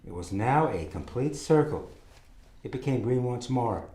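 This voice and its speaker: A man acting as the measured, ominous narrator of a science thriller radio play, reading from a script. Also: medium pitch, lively intonation, mellow, lightly acted. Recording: echoey room.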